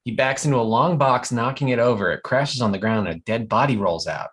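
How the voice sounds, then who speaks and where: A man's voice: medium pitch, fairly quick, a bit bright. A man narrating stage directions at a comedy table read, matter-of-fact and descriptive.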